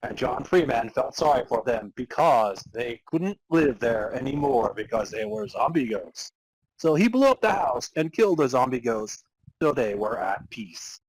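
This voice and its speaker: A man's voice: medium pitch, animated, moderate pace, slightly rough, rough recording. A man reading amateur fan fiction aloud as narrator, in a mock-serious dramatic storytelling style. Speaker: podcast host reading as narrator.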